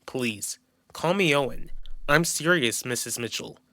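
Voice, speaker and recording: A man playing an earnest, polite man offering friendship in an animated soap opera. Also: medium pitch, a little slow, dry recording, clean studio sound. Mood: sincere.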